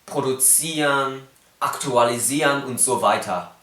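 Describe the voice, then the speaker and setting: A man's voice: mid-range voice, unhurried, pushed and bright, echoey room. A young man whose first language is Cantonese, speaking with a Cantonese accent as he explains phonetics in a casual vlog and carefully demonstrates sounds.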